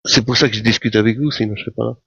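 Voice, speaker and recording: A man's voice: mid-range voice, steady tone, racing, gravelly, fairly bright tone, slightly rough, no room sound. Caller, man, casual debate, dry and muffled line. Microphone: phone or app call.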